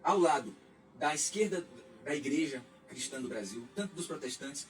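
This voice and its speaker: A man's voice: medium pitch, animated, normal speed. A man, a radio guest talking politics in a reflective, lecturing way with frequent restarts. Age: 40s. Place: radio studio. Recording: distant mic, faint music underneath.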